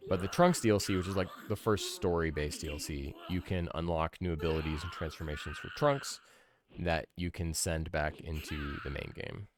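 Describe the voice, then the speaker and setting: A man's voice: deep voice, fairly even intonation, moderate pace, over music. A man in his thirties giving casual, conversational gameplay commentary as a streamer, thinking aloud into a close mic. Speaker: game streamer, podcast host.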